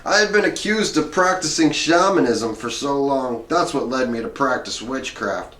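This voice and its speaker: A man's voice: medium pitch, animated, moderate pace, fairly bright tone, close to an everyday voice. A man voicing a rambling, drug-worn older improv guru in a run-on, scattershot monologue. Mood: rambling, matter-of-fact. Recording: roomy, echoing room sound.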